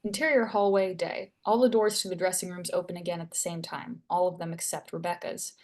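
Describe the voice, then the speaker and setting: A woman serves as narrator at a screenplay table read. She reads scene headings and action lines in a slightly low voice. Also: fairly even intonation, a little fast. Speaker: stage directions narrator.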